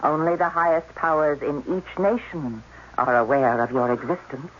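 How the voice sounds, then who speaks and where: An actress playing a guarded alien doctor, speaking in a formal, precise manner in a 1980s radio drama. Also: female voice, deep voice, moderate pace, natural voice. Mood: grave, formal. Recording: dull, noisy old radio broadcast.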